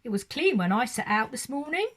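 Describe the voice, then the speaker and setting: A woman voicing a gruff, grumpy male taxi driver with a bitter, growling manner. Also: on the high side, sing-song, brisk, fairly bright tone. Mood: grumbling.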